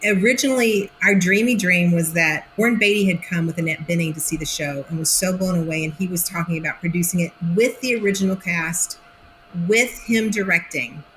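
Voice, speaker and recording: An actress guest from Oklahoma, speaking in a casual interview with a faint Oklahoma accent and telling stories with lively acted-out quotes. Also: female voice, lowish voice, a little fast, slight background noise, low-quality recording. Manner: animated storytelling, acts out quotes.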